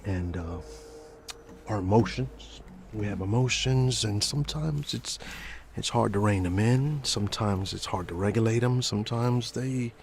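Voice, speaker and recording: A man's voice: lowish voice, unhurried, vocal fry, a little hiss or hum. A man giving a rambling, motivational ASMR talk in an earnest, encouraging way, repeating phrases for emphasis. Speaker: ASMR video host.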